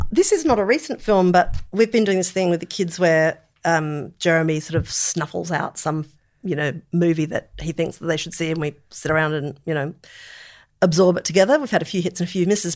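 A woman in middle age with a slightly low voice talks in a casual, conversational way. She sounds like a podcast co-host, choosing expressive, descriptive words as she goes. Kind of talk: casual chat about films.

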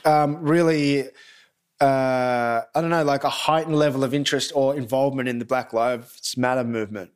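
A man's voice: mid-range voice, steady tone, normal speed, clean tone. A man with an Australian accent interviewing a guest, asking questions in a rambling, hesitant way. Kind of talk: casual interview chat.

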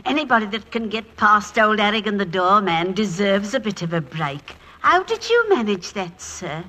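An actress playing a deferential, chatty theatre dresser in 1940s radio style. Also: female voice, mid-range voice, big pitch swings, normal speed, pushed and bright. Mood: chatty, respectful. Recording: vintage 1940s radio recording.

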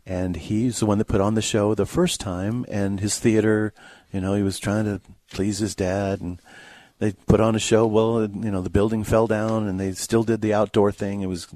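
A man co-hosting a casual radio film review, telling things in a folksy, halting way. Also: lowish voice, flat delivery, warm tone, no room sound. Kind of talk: casual radio movie chat. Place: dry radio studio.

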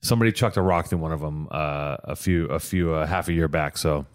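A man's voice: rumbling low, fairly quick. A man hosting a casual podcast chat, rambling and restarting his sentences often, on a podcast microphone. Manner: rambling, with many restarts and repeated words. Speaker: podcast host.

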